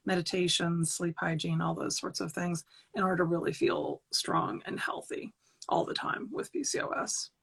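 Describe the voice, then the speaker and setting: Gravelly-voiced woman psychotherapist. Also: fairly low pitch, a bit bright. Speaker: psychotherapist and author.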